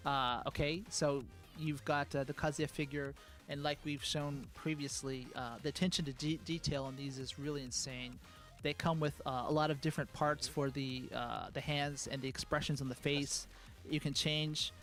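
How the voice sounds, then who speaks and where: A man giving a live product presentation as a game producer, speaking in a relaxed way full of "uh"s. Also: normal speed, hardly any pauses, soft background music. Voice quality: calls his own voice high. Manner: relaxed, filler-heavy speech with many "uh"s.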